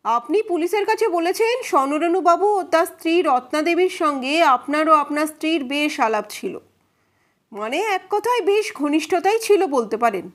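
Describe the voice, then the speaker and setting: A woman's voice: high-pitched, expressive, moderate pace, voice pushed up. A woman voicing a probing male defence advocate in an audio story, questioning witnesses in a formal, insistent, pointed way. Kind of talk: dramatized courtroom questioning.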